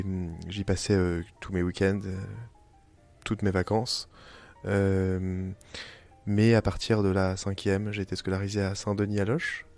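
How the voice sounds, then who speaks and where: A young French man, an interviewee telling his story in casual conversation, pausing often with fillers and restarts. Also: low voice, darkish tone. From France. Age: young adult. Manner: hesitant, many fillers and restarts. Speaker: interviewee, company founder. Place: family house in the countryside.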